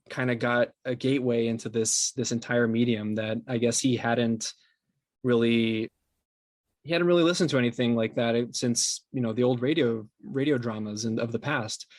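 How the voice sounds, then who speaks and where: A man's voice: medium pitch, dry recording. A man speaking conversationally as an interview guest, earnest and appreciative in manner. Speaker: interview guest, podcast company co-founder.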